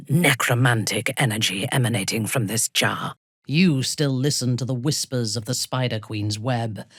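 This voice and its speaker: A woman playing an imperious, ruthless dark elf warrior noblewoman in a fantasy video game, speaking in a commanding, formal, haughty way with a husky, breathy voice. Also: a bass voice, no room sound, studio quality, exaggerated voice.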